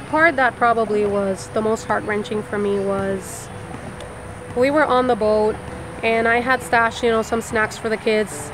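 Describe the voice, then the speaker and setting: A high-voiced woman from Puerto Rico tells her story in a candid, animated way, acting out remarks as she goes. Clear outdoor urban noise and traffic sit behind her. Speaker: mother recounting a hurricane.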